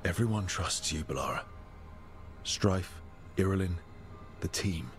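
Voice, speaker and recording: An actor playing a calm, reassuring hero and team leader in a video game, speaking in a measured, supportive way. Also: male voice, deep voice, soft background music. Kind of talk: dramatic video game dialogue.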